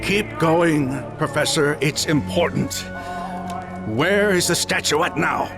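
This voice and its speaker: An actor playing a sinister, controlling false psychiatrist in a game, pressing and commanding. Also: male voice, on the high side, slow pace, music in the background. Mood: pressing, menacing. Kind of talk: dramatic video game acting.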